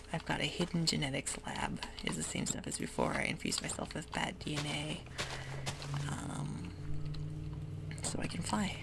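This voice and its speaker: A woman whispering ASMR gameplay commentary in a soft, rambling way with many ums. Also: deep voice, gravelly, background noise. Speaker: gaming ASMR host.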